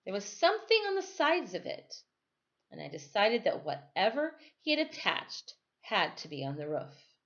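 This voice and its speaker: A woman reading a novel aloud as a storyteller, with careful, dramatic phrasing and expressive narration. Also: medium pitch, normal speed. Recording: dull, lo-fi sound.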